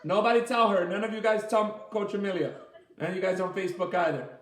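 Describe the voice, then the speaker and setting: Male children's coach, high-pitched, encouraging and simple in his explanations, recorded in an echoey room. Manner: encouraging, praising, explains slowly and simply with repetition. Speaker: martial arts coach leading children's story time. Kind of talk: interactive children's lesson, chatty.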